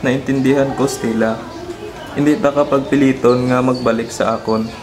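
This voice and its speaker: A man's voice: mid-range voice, fairly even intonation, normal speed, close to an everyday voice. A man with a Western Visayan Filipino accent playing a jealous, emotional husband and father in a radio drama. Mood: remorseful, subdued. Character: jealous husband and father, volatile, later remorseful. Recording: echoey room with music bed.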